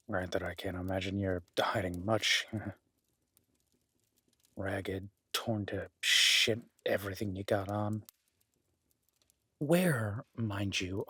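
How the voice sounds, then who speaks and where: A man playing a gruff, skeptical but caring cabin dweller in an intimate audio roleplay, blunt and sweary yet grudgingly reassuring. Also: fairly low pitch, pushed and bright, no echo, full character voice. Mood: wary, brusque. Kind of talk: immersive audio roleplay, intimate naturalistic acting.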